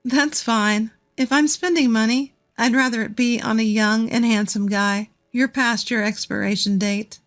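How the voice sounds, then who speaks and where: A scornful, self-assured divorced woman in middle age from a narrated drama acted by a female reader. She speaks in a fairly high voice, firm and cutting, with open indignation. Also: steady tone, measured pace, few pauses, fairly bright tone. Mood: scornful, triumphant.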